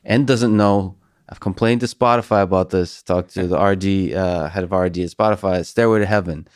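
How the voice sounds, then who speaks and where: A man hosting a podcast interview, talking in a halting, wry, self-deprecating way. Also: deep voice, fairly even intonation, no echo, clean studio sound. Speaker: podcast host. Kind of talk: conversational interview.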